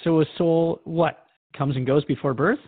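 A man's voice: on the high side, steady tone, normal speed, dry recording, phone-quality sound, lightly acted. A man playing a thoughtful, friendly radio host who asks probing questions in a conversational radio drama interview. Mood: curious, probing.

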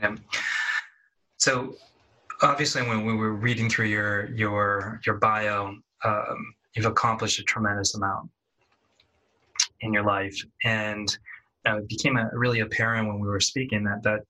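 A man hosting an interview in a conversational style, speaking haltingly and repeating words as he forms his questions. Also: lowish voice, flat delivery, bright, forceful tone, rough recording. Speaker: interview host.

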